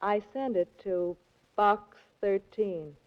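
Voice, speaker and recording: An actress playing a greedy, scheming femme fatale, cool and coaxing, in 1940s radio drama style. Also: female voice, mid-range voice, takes it slowly, natural voice. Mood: cool, defiant. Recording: muffled 1940s radio recording.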